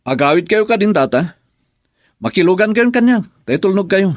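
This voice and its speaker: A man's voice: high-pitched, big pitch swings, moderate pace, no echo, studio quality. A man acting in a Filipino radio drama as a troubled young married man, with an Ilocano accent and a hesitant, musing way of talking. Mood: friendly, respectful.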